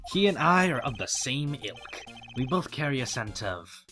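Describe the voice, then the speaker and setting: A man voicing an eager, morbid, self-important hotel bellboy in a game fan dub, fawning and theatrical with drawn-out phrases. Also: medium pitch, big pitch swings, sharp tone, faint music behind. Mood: smug, sinister. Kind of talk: fan-dub visual novel character acting.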